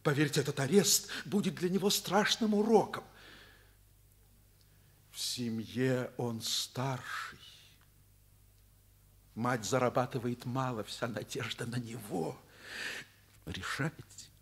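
An actor playing a stern but passionate middle-aged school director, earnest and insistent, in a Soviet radio drama. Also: male voice, medium pitch, slow pace, long pauses. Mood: earnest pleading. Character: stern, passionate male school director defending his pupil.

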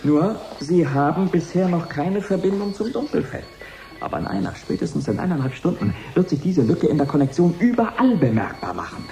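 Worried technician, high mellow male voice. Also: very animated, fairly quick, gravelly, soft background music. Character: worried control-centre technician reporting a system failure. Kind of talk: 1980s German science fiction radio play acting. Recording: echoey room sound.

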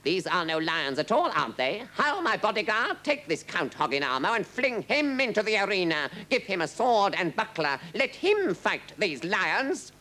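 Storybook tyrant king: pompous, vengeful, imperious, voice pitched high and swooping. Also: big pitch swings, normal speed, few pauses, bright, forceful tone, exaggerated voice. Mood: scornful, commanding. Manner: imperious, exclamatory, archaic wording. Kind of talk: storybook character acting.

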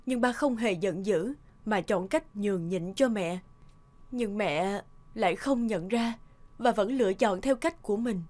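A woman playing a gentle, remorseful mother confiding in her son in an animated family drama, soft and earnest. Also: on the high side.

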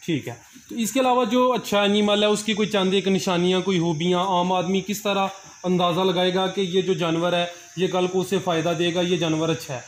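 High-voiced polite male interviewer. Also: steady tone, brisk, a little hiss or hum. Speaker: interviewer, channel host. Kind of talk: interview questioning. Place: cattle farm.

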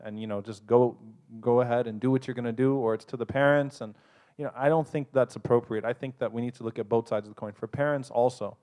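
A man speaking on a panel at a convention, giving earnest, brisk advice to the audience. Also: mid-range voice, a little mellow, clear, resonant tone. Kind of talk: live advice talk to an audience. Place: convention hall.

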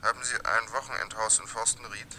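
An actor playing a secretive, insinuating anonymous caller in a crime radio drama. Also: male voice, on the low side, steady tone, brisk, bright, forceful tone. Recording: noisy background.

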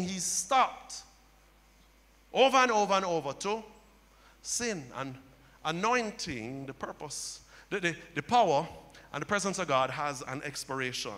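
A middle-aged male pastor preaching a sermon on a church stage into a handheld mic, building to loud impassioned cries. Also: sits high. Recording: long hall reverb.

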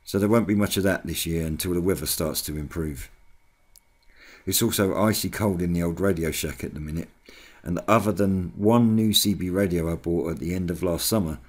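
A man's voice: low-pitched, brisk. A British man hosting a hobby video channel, giving a scripted voice-over update to his viewers.

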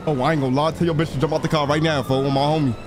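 Male role-play streamer, high voice, repetitive urgent slang, noisy game sirens and traffic underneath. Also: racing. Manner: slangy, excitable, repeats phrases. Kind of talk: in-character live gameplay chatter. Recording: sirens and vehicle noise behind voice. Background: game sirens and car sounds.

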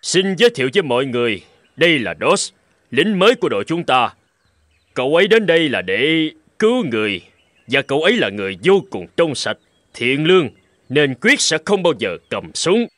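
An actor playing a gruff, scornful middle-aged army commander in a cartoon, stern and mocking. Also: male voice, fairly high pitch, big pitch swings, brisk, fairly bright tone, dry recording. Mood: announcing. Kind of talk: dubbed cartoon dialogue. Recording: music behind the voice.

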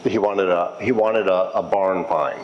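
A man speaking as a restoration technician in a casual interview, explaining in a halting, conversational way and repeating phrases. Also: low-pitched, lo-fi sound. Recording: clear steady background noise.

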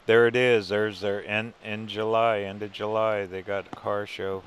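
A man's voice: lowish voice, little pitch movement, moderate pace, a little hiss or hum. An older man narrating a casual walk through a museum as a travel vlogger, easygoing and wry with chuckling asides. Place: indoor museum buildings.

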